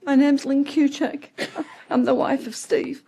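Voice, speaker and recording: Tearful high-voiced woman in her seventies. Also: flat delivery, warm tone, slight background noise. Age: 70s. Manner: halting, breaking off mid-word, nervous laughter. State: distraught, close to tears. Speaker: resident giving public comment. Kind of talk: public comment at a county meeting.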